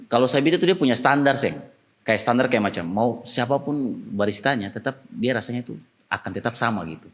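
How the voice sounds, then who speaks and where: An Ambonese-accented man chats as a podcast host with a lively, widely moving pitch. He jokes and rambles, restarting his sentences often. His voice sits in a middle register. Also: brisk. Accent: Ambonese Malay. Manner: joking, rambling, lots of restarts. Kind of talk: casual chat.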